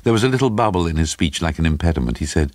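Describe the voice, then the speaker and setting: A man narrating a dark literary story in a dramatic, scripted storytelling style. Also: deep voice, lively intonation, rapid-fire, dry recording.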